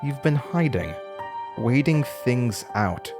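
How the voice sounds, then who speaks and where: A man's voice: mid-range voice, animated, slow pace, music in the background. A young man in a horror audio drama playing a weary, defiant college student haunted by his past, candid and dryly resigned. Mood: weary defiance. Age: young adult.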